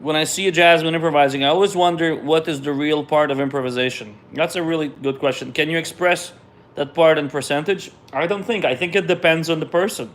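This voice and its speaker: A middle-aged man from Israel, whose first language is Russian, casually reads out viewer questions and answers them in a relaxed, conversational way, often trailing off and restarting. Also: a little high, fairly quick. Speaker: musician hosting a viewer Q&A.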